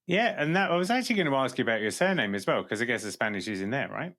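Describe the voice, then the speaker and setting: A man's voice: medium pitch, expressive, rapid-fire, a bit bright, dry recording, studio quality. A man hosting a podcast, chatting casually and jokingly with a guest, then reading the show outro. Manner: jokey, enthusiastic. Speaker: podcast host.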